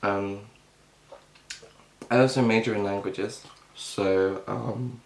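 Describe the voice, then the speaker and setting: A young British man chatting casually and self-deprecatingly to camera, clearing his throat now and then. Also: on the low side, steady tone, takes it slowly, echoey room, low-quality recording. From Britain. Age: young adult. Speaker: vlogger. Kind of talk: casual mukbang chat.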